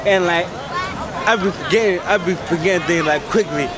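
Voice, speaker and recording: A man with a mixed Jamaican and New York accent and a speech impediment, telling his story quickly in a candid street interview outdoors. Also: high-pitched. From United States. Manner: fast, tumbling storytelling. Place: outdoors on a busy city street. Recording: loud street crowd behind.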